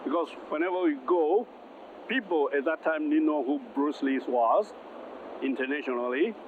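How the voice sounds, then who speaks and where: Guy slowly recalling film work, stop-start, tinny with a humming aircon behind. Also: on the high side. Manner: slow, halting, repeats and restarts. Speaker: interviewee, film production worker. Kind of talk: interview recollection. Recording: phone-like sound with air conditioning hum.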